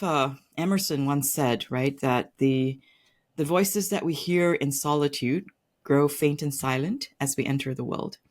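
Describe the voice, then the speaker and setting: Low-voiced woman guest from Singapore. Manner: reflective, fluent, with frequent "right?" and "you know". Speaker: podcast guest; tech executive. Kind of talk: casual interview storytelling.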